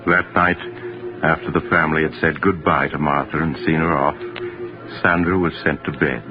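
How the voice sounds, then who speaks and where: Ominous male narrator, deep, theatrical, deliberate, old radio mystery, prominent music underneath. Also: fairly even intonation. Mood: grave, measured. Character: sinister storyteller, a former doctor, calm and ominous. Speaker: narrator and host. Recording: muffled 1940s radio recording.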